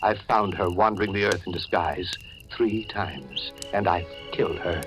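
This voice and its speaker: An actor playing a deranged, menacing hotel keeper who rambles in a folksy, deceptively solicitous way, in an old-time radio horror drama. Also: male voice, sits low, moderate pace, low-quality recording. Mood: brooding, obsessive. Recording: vintage radio drama, music underneath.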